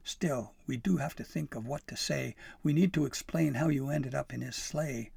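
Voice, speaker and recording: A man's voice: mid-range voice, normal speed, creaky, darkish tone, slightly rough, no room sound. A man voicing a feisty young female elf in a storybook, stern, indignant and exasperated but fond underneath. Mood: exasperated fondness. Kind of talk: acted storybook dialogue.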